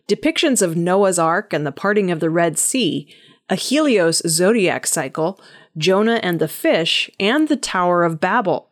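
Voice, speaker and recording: A woman reading scripted audio news stories as a news reader. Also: lowish voice.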